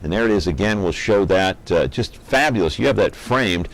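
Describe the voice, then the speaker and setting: A man's voice: low voice, lively intonation, moderate pace. A man hosting a program, interviewing a guest in a conversational, inquisitive and enthusiastic way.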